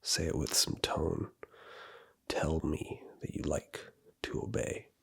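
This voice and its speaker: Man, ASMR roleplay: breathy, husky, bossy, teasing whisper up close. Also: very deep voice, takes it slowly, fairly bright tone. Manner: commanding and teasing, giving orders and points.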